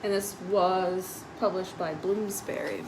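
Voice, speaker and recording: A woman's voice: on the low side, steady tone, slow. A woman hosting a children's storytime on a live stream, reading picture books aloud with simple, explanatory asides for young listeners. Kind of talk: picture-book read-aloud with informal asides. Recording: faint background noise on live stream.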